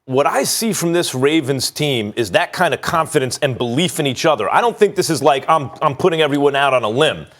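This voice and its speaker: Lively man analyzing sports. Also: fairly high pitch, brisk, few pauses. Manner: animated, storytelling. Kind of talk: sports debate.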